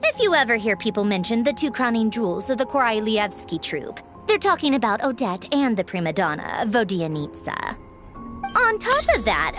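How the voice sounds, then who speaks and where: A woman's voice: a little high, fairly bright tone. A woman voicing a poised, gracious noblewoman official in a video game, speaking in a knowing, storytelling manner. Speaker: game character. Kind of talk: game voice acting. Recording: faint game music behind.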